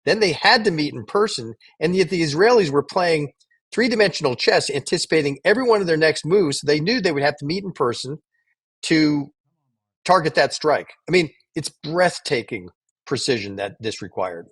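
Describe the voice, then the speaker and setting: A man co-hosting a talk podcast with conversational commentary in a husky voice. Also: on the high side, animated, normal speed, a bit bright. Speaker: podcast co-host.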